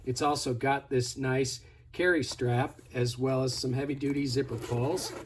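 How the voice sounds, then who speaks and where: A man's voice: medium pitch, fairly even intonation, unhurried, a little hiss or hum. A man giving a casual, hands-on product tutorial in a conversational, step-by-step instructive way. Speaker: product demonstrator.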